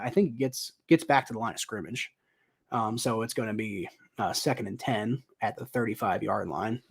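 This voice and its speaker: A young man doing casual live football commentary, thinking out loud with lots of ums and uhs, his voice a little dry and raspy. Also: medium pitch. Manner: rambling, thinking aloud with many ums and uhs. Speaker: livestream host commentating football.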